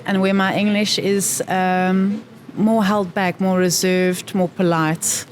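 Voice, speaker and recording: A woman from South Africa being interviewed, talking thoughtfully and pausing to find her words. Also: medium pitch, level tone. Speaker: interview guest. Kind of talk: reflective conversation. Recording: faint background noise.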